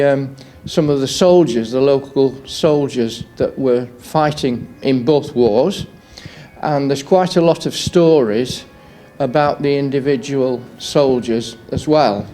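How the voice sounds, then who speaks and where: A man explaining his work in a measured way in an interview. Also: a little high, mellow. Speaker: interviewee, local historian and author.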